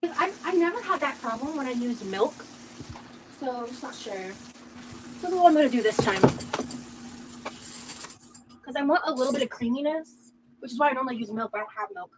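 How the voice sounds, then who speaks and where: A woman's voice: high-pitched, animated, brisk, pauses often, background noise. A woman chatting casually while she cooks breakfast on a livestream, full of "like" and little asides. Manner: chatty, lots of "like", talks while busy cooking. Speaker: cooking livestream host. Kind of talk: casual chat while cooking. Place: home kitchen.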